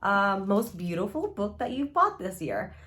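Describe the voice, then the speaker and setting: A woman's voice: mid-range voice, big pitch swings, unhurried, a bit bright. A woman chatting casually as a book vlogger, gushing and rambling informally with frequent asides.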